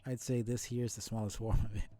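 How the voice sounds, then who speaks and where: Man voicing a soothing game character, mellow tone. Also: on the low side, level tone, fast talker, close to an everyday voice. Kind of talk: reading game dialogue aloud in character.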